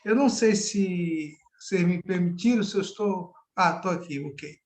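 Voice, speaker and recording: A man's voice: high-pitched, normal speed. A middle-aged man from Salvador, a pastor giving an informal Bible study over a video call, chatty and often ending with a tag question. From Salvador, Bahia, Brazil.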